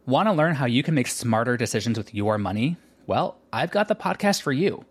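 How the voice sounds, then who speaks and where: A male podcast host reads a scripted promo. His voice is husky and of medium pitch. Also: animated, brisk.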